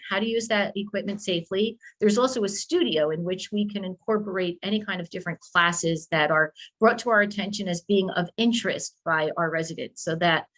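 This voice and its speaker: A woman's voice: medium pitch, a bit bright, low-quality recording. Plainspoken woman nearing retirement, video call. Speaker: wellness coordinator. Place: small office.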